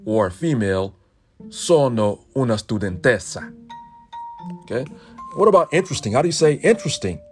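A man hosting a podcast lesson, slowly saying everyday phrases and repeating each one twice. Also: medium pitch, big pitch swings, darkish tone, soft background music. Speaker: podcast host teaching Italian phrases. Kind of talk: solo language lesson podcast.

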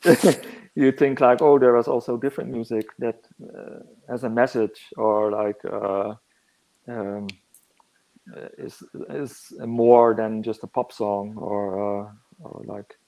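Slow, gravelly man. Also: mid-range voice, fairly even intonation, dark tone, poor audio. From northern Netherlands. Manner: halting, searching for words. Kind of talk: reflective interview answers.

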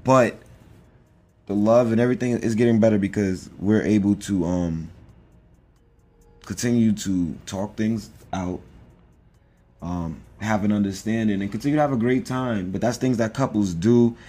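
A man's voice: on the low side, normal speed, pauses often, warm tone. A man co-hosting a podcast in casual chat, rambling and joking with lots of fillers. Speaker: podcast co-host.

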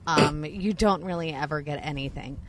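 A woman's voice: low-pitched, moderate pace. A woman in her thirties hosting a casual podcast chat, rambling with lots of fillers and swearing freely. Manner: chatty, rambling, many fillers, profane, laughs a lot.

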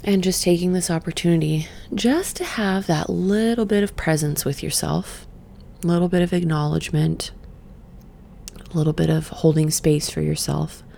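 A middle-aged woman who just woke up, leading a gentle breathing exercise and then reflecting in a soft, meandering way. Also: fairly low pitch, steady tone, vocal fry, slight background noise. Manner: soft, slow and meandering, with sighs and fillers. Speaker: podcast host and session coach. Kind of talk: guided breathing then casual reflective talk.

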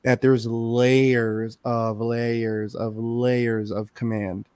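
A man's voice: mid-range voice, level tone, extremely slow, darkish tone, smooth, no room sound. A man co-hosting a podcast, arguing his points emphatically and checking in with "you know what I'm saying?". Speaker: podcast co-host. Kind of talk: casual chat.

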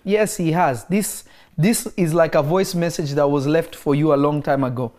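A man's voice: fairly high pitch, moderate pace, darkish tone. Emphatic man preaching in conversation. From Kenya. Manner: emphatic, repeats key phrases.

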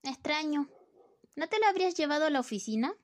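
High, flippant scolding wife for a radio drama, played by a woman. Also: animated, fairly quick. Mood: chiding. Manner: flippant, nagging. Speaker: student radio drama actor. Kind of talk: amateur radio play acting.

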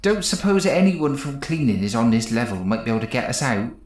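An actor playing a dim, unflappable British spaceship cleaner, laid-back and matey, in a farcical audio comedy. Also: male voice, medium pitch, fairly quick, darkish tone, reverberant, close to an everyday voice.

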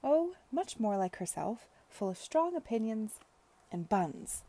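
A woman's voice: on the high side, very animated, measured pace, mellow, voice pushed up, full character voice. A woman reading a cheerful sensible young woman.

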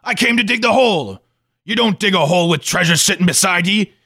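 Surly pirate, gravelly creak, highish swooping pitch, pirate accent, boastful, old-time radio comedy. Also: male voice, animated, fairly quick, pushed and bright, dry recording, studio quality, exaggerated voice. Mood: gruff, haughty. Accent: put-on stage pirate. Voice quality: gravelly, rough. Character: surly, boastful male pirate captain, menacing and comic. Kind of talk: comic 1940s-style radio play acting.

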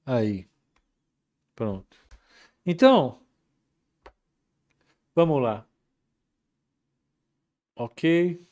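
A man hosting a casual live market commentary, relaxed and chatty, reading viewer comments aloud. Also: mid-range voice. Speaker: market commentary livestream host.

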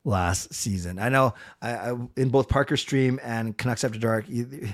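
A middle-aged man with a loud voice hosting a casual hockey livestream, rambling with fillers and restarts. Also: medium pitch, moderate pace. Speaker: livestream host.

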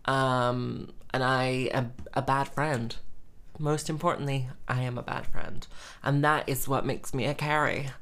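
A woman's voice: steady tone, a little slow, fairly bright tone. A young woman in her twenties, a musician chatting in a rambling, self-mocking way with lots of fillers. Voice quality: says she has a squeaky voice. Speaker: musician and vlogger. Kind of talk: casual rambling monologue.

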